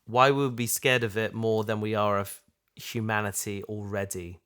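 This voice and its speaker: A man chatting casually and thoughtfully, feeling his way through ideas in halting phrases. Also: on the low side, flat delivery, fairly bright tone. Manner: halting, thoughtful, repeats himself. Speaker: podcast host, audio drama voice actor. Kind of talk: casual chat, discussion.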